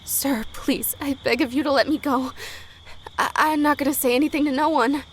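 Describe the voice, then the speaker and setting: Woman playing a high-voiced plucky teenage girl. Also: steady tone, normal speed, a little hiss or hum, close to an everyday voice. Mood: frightened, pleading. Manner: folksy dialect grammar, plucky. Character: brave teenage high school girl, defiant but polite. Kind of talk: 1930s period audio drama acting. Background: crickets and night insects.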